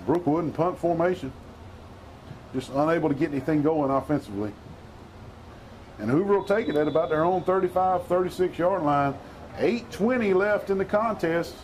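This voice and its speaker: A middle-aged man calling a local football game, folksy and excitable, his voice hoarse. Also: fairly high pitch, moderate pace, a little hiss or hum, low-quality recording. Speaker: play-by-play announcer.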